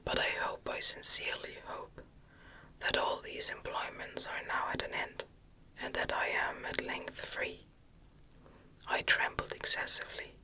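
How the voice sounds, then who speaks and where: Female ASMR audiobook narrator: whispers throughout, slow and careful phrasing, close on the mic. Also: poor audio. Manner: soft, slow, deliberate delivery with careful phrasing. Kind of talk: ASMR whispered reading of a classic novel.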